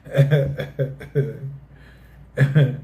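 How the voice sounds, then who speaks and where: A man's voice: medium pitch, steady tone, drawn-out words, warm tone. Joking Southern man streaming live. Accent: Southern American. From American South. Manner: folksy, joking, reads chat aloud, swears casually. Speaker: livestream host. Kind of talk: casual live-stream chat with viewers.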